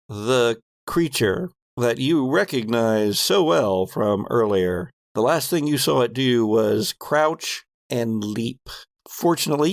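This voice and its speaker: A man running a horror tabletop game as game master, narrating in a deliberate, descriptive way with pauses mid-sentence. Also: medium pitch, dry recording.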